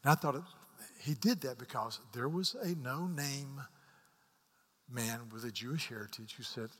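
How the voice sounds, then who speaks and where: An earnest male preacher delivering a sermon, often stumbling into repeated words. His voice climbs to forceful emphasis, then falls to near-whispered asides. It rings out with the long reverb of a large sanctuary. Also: mid-range voice, moderate pace. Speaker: pastor.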